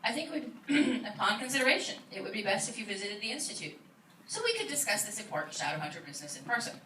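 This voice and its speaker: A woman's voice: fairly high pitch, sing-song, fast, pushed and bright. Officious, flustered woman leader, acted by a woman, comic live reading, distant mic, faint noise. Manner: officious, formal. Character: stiff, self-important, flustered woman in charge. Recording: roomy, distant old iPod recording, faint noise.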